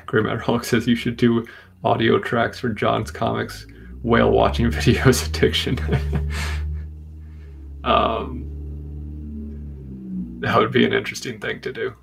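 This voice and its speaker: A man from Rhode Island hosting a live comic book stream, chatting calmly and steadily while reading comments. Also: medium pitch, vocal fry, noisy background. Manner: calm, steady, unhurried. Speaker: livestream host.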